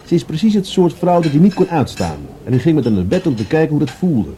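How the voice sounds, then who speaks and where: An actor playing a grumbling police adjutant in a Dutch radio drama, halting and deferential to his superior. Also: on the high side, big pitch swings, warm tone, slight background noise. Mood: grumbling, hesitant.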